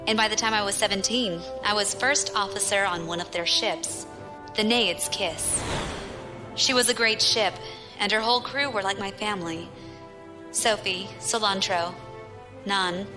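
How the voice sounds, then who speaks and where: A woman's voice: medium pitch, lightly acted. An actress playing a battle-hardened, proud young female pirate captain with a wry storytelling manner in a science fiction audio drama. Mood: wry, nostalgic.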